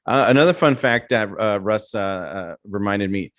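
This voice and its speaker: Hesitant low-voiced man. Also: a bit bright. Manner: hesitant, many "uh" pauses. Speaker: podcast co-host. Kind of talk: casual podcast chat.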